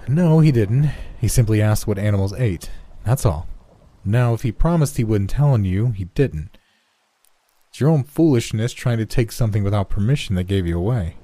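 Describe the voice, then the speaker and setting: A man's voice: lowish voice, lively intonation, mellow, no room sound. Man acting a stern, probing father. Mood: firm, stern. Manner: deliberate, questioning, firm. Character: stern industrialist father, patient but probing.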